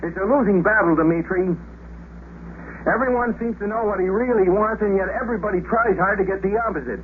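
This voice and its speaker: An actor playing an easygoing, stubbornly generous American astronaut in a 1950s radio drama, with a breezy, wisecracking delivery. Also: male voice, high voice, fairly quick, close to an everyday voice. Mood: exasperated. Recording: muffled, hissy 1950s radio recording.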